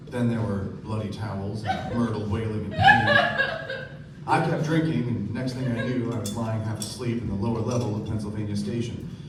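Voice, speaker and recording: An actor playing a wry, observant young narrator, telling the story in measured radio play style. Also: male voice, fairly low pitch, lightly acted. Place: theatre stage before an audience. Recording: echoey, noisy stage recording from far off.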